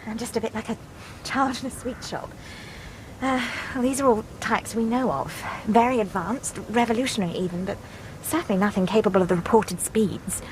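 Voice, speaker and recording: Actress as keen, high-voiced aircraft expert. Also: female voice, brisk, background noise, lightly acted. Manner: precise, slightly hesitant. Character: keen, precise British woman aircraft expert in wartime. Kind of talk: radio drama acting.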